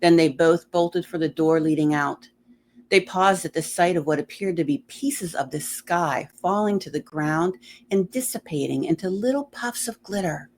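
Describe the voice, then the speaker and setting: A woman's voice: on the low side, normal speed, slight background noise. A woman reading a horror story aloud in a dramatic narrating style.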